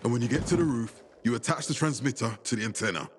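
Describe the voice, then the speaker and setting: A man's voice: mid-range voice, fast talker, slight background noise, natural voice. An actor playing a stern, hot-tempered male military commander giving curt orders. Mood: commanding.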